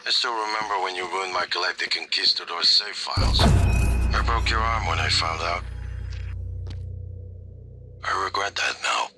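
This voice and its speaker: Stern brooding man, low quiet voice, cool delivery, music behind, video game. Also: moderate pace, long pauses. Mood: wistful, cool.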